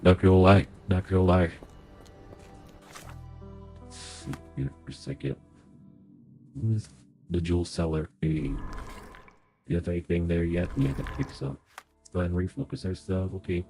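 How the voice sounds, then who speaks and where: A man's voice: sits low, vocal fry, dark tone. A young man streaming a game playthrough, thinking aloud as he plays, sounding nasal because he is sick. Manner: chatty, thinks aloud while playing. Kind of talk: live game playthrough commentary.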